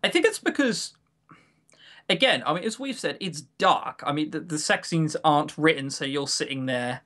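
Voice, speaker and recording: A man with a fairly high voice co-hosts a casual review podcast. He speaks with enthusiasm and strong emphasis, and likes to joke as he makes his points. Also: lively intonation, moderate pace, fairly bright tone. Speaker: podcast co-host.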